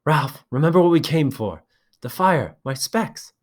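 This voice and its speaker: A man's voice: fairly high pitch, lively intonation, a little slow, voice pushed up. A man voicing a frightened schoolboy with glasses who wails and pleads. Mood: urgent pleading. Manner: wailing, pleading. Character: shrill frightened boy with glasses.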